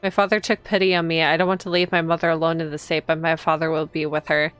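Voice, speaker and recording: A woman's voice: deep voice, level tone, fast, fairly bright tone, clear, resonant tone, faint music behind. A woman reading visual novel text aloud as a streamer, expressively, with casual reactions to the story.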